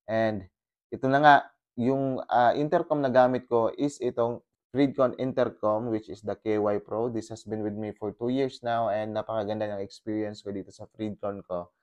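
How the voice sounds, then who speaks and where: A man's voice: lowish voice, normal speed, clean tone, highs cut off. A Filipino man showing step by step how to pair his gear, mixing Tagalog and English with many fillers, speaking into a helmet intercom mic on his motorcycle. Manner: mixes Tagalog and English, steady explaining with fillers. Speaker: motovlogger. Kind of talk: casual tutorial vlog. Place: on a motorcycle, outdoors in a subdivision. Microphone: intercom headset inside a helmet.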